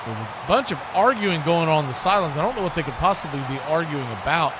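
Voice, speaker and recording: A man's voice: fairly high pitch, lively intonation, quick pace, noisy background. A man giving live play-by-play commentary on a football game.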